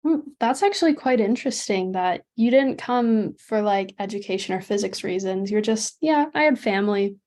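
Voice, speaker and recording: A woman's voice: mid-range voice, animated, normal speed, few pauses, a little mellow. A young woman co-hosting, politely reading out interview questions and thanking the guest. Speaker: co-host asking questions.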